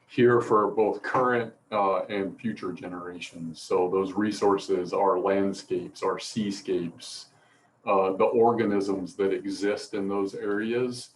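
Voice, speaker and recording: A middle-aged man from Appalachia speaking as a professor on a panel, explaining things in a measured way. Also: sits low, slight background noise, rough recording. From Appalachia, mountains of North Carolina and Virginia. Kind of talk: informal panel talk and explanation.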